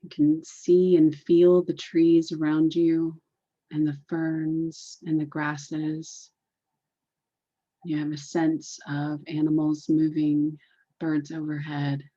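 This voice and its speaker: Woman meditation guide, very slow pace, mellow dark tone, soothing, deliberate, repetitive, muffled lo-fi sound. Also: low-pitched, monotone.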